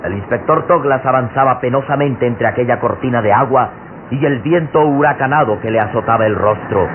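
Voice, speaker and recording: A man narrating an old radio serial in a dramatic, solemn, suspenseful style with weighty pauses. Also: medium pitch. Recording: noisy narrow-band old radio serial recording.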